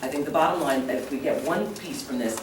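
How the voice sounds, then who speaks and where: A man on a panel speaking briskly and emphatically, thinking aloud. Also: on the high side, a little hiss or hum. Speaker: panelist on tourism. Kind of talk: panel discussion. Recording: echoey room, distant mic.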